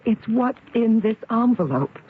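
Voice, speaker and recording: An actress playing an earnest, anxious young city bank trainee in a radio drama, speaking politely and nervously. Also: female voice, a little high, animated, unhurried. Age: young adult. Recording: tinny, noisy old radio broadcast recording.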